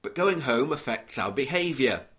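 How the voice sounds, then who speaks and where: A man from Liverpool with a mild Scouse accent, preaching an earnest, measured sermon and reading scripture aloud. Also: medium pitch, animated, fairly bright tone. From Liverpool, England.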